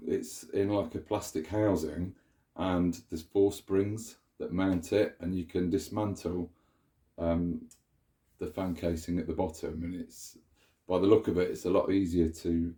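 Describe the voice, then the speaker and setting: A man's voice: sits low, level tone, a little slow, mellow. A man with a stuffy cold chattily shows off a vacuum cleaner in a sunny room indoors, filming it up close with a handheld camera. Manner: chatty, meandering, self-correcting. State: nasal from a cold. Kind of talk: casual show-and-tell demonstration.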